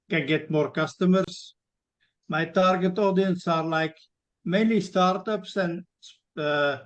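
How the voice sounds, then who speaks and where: A man with a slightly raised voice talks slowly over dull, lo-fi call audio. He introduces his work hesitantly, stopping and restarting his sentences. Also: on the high side. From Belgium. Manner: hesitant, many fillers and restarts. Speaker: web designer introducing himself. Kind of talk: self-introduction at an online networking meeting.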